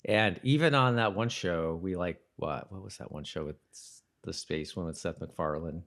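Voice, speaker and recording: A man co-hosting a podcast, chatting informally about a TV show, haltingly and repeating himself for emphasis. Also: low-pitched, fairly bright tone. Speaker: podcast co-host. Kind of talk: casual TV-recap chat.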